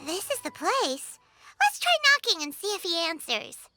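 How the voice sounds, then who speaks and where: A tiny cartoonish girl companion with an extremely high, swooping voice. She is bubbly, curious and exclamatory, in anime game style over prominent music. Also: sing-song, normal speed. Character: tiny childlike female companion, cheerful, chirpy, curious. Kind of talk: English dubbed anime game acting.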